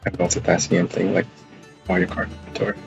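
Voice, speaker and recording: A man casually commentating as he livestreams a video game, with a creaky voice. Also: low voice, monotone, fairly quick, lo-fi sound. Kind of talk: casual live gameplay commentary. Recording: stream mic over game music.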